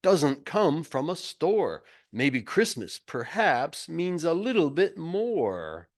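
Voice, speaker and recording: A man voicing the Grinch, a grumpy storybook creature, in a theatrical, drawn-out manner. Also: on the high side, sing-song, heavily acted. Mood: puzzled, pensive. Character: sneering, gloating male Grinch, turning pensive.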